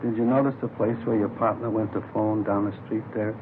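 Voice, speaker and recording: A man's voice: lowish voice, steady tone, brisk, close to an everyday voice. An American man playing a chatty, easygoing small-time police informant in a 1950s radio crime drama, folksy and rambling. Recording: old radio, phone-like band, faint noise.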